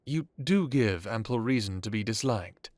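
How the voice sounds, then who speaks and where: A man playing an ancient, courtly vampire lord in an audio drama, calmly authoritative, with formal, genteel phrasing. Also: fairly low pitch, moderate pace, dry recording, lightly acted. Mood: wry.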